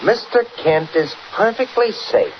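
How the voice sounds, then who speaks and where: An American actor playing a scheming, blackmailing park owner in 1940s radio style, mock-polite and menacing. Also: male voice, sits high, measured pace. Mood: coolly menacing. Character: scheming male amusement park owner, smug blackmailing villain. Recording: noisy, muffled old 1940s radio recording.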